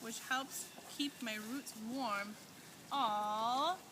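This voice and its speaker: A patient, kindly old oak tree, voiced by a woman, speaks in a puppet show. Her voice is high for a grown-up, with loud noise all around it. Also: drawn-out words. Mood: kindly, unhurried. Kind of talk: children's puppet show storytelling. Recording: very noisy amateur room recording.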